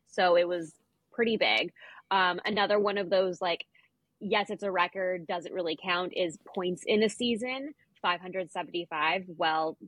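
A woman's voice: on the low side, a bit bright, breathy edge, no room sound, poor audio. A woman co-hosting a podcast, chatting casually about sport with wry asides. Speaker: podcast co-host. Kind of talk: casual sports chat, recapping records.

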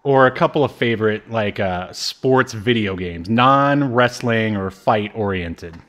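A man's voice: lowish voice, a little slow, fairly bright tone. A man co-hosting a casual game review show, joking, poking fun at himself and wandering off on tangents. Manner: jokey, self-deprecating, digressive. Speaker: podcast co-host.

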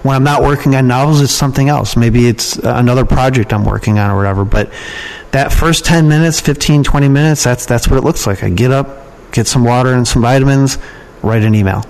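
A man's voice: medium pitch, fairly quick, darkish tone, low-quality recording. Plain-spoken man chatting casually in an interview. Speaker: podcast guest, email marketer and copywriting trainer.

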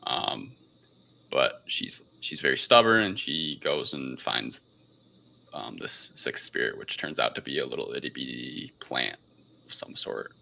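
Male podcast host, very low and creaky, loose hesitant film chat. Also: animated, measured pace, pushed and bright. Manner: hesitant, unscripted, rambling delivery. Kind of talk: casual podcast film review.

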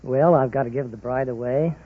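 A man's voice: mid-range voice, a little fast, husky. A middle-aged man answering plainly and briefly as a quiz show contestant. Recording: tinny, phone-like old radio recording.

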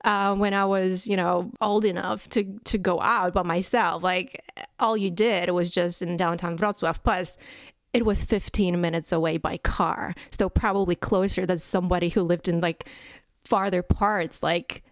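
A woman's voice: on the low side, fairly even intonation, unhurried, no room sound, studio quality. A woman in her thirties, born in Poland, talking as a podcast guest, chatty and laughing often. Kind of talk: conversational interview.

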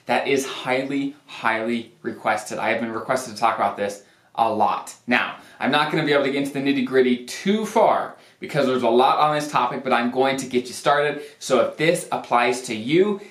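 A man's voice: medium pitch, moderate pace, a bit bright. A man giving an informal explainer talk as a financial planner, speaking emphatically and repeating words for stress. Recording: roomy, echoey sound.

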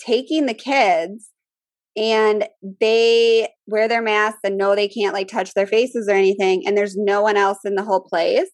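A woman in her thirties with a Midwestern accent, co-hosting a casual chat show, animated and over-enunciating. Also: mid-range voice, normal speed, hardly any pauses, no echo. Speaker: podcast co-host.

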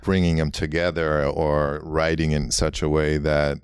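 A man's voice: very deep voice, flat delivery, measured pace, smooth, no room sound, clean studio sound. A man from Los Angeles whose first language is Armenian, a guest artist and architect musing in a meandering way with many fillers. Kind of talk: reflective interview talk.

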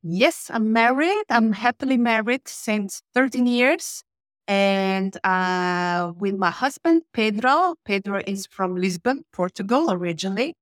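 A woman's voice: mid-range voice, no room sound. Woman speaking in a dry, close sound. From Switzerland and southern Italy. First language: Italian. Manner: explanatory, steady, frequent pauses with "uh". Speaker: guest, change and communication manager. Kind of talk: podcast interview.

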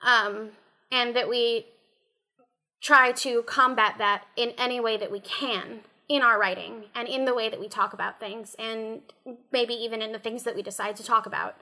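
Female critic, bright forward voice on the high side, deliberate panel discussion delivery. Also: steady tone. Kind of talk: earnest roundtable discussion.

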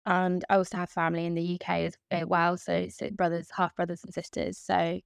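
Young woman, even and level delivery, dry close sound; chatty interview guest. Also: fairly low pitch, fairly quick, vocal fry, clean tone, dry recording. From Hong Kong. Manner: chatty, with frequent fillers and restarts. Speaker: guest, events professional. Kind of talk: casual interview.

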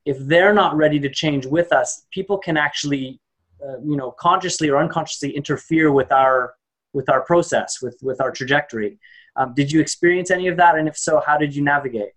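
A man's voice: a little high, brisk, fairly bright tone, poor audio. A man hosting a podcast interview, asking thoughtful questions with hesitant restarts and repeated words. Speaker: podcast host.

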